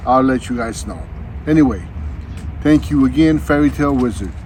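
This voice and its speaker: A man's voice: medium pitch, lively intonation, moderate pace. A man hosting a casual unboxing vlog, chatting to viewers in a folksy, slangy, laid-back way. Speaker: vlog host.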